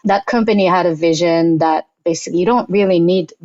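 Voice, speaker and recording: Woman, low and muffled. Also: brisk, a little mellow, no echo. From Israel. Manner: thoughtful, with frequent restarts and light laughs. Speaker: guest, payments product executive. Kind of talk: casual interview talk.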